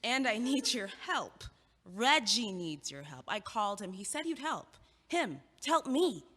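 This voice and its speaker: Casting: a woman as a lively, teasing student, animated and bright. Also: medium pitch, breathy edge, full character voice. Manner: lively, teasing, quick to laugh. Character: bright, friendly young female college student. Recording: reverberant theater stage.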